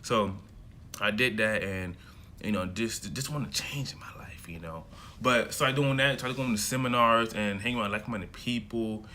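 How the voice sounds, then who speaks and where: A man's voice: fairly low pitch, normal speed, sharp tone, slight background noise. A young man from America in his early twenties, talking to camera in a loose, chatty monologue full of fillers and restarts. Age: young adult. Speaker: self-development vlogger. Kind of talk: casual rambling monologue to camera.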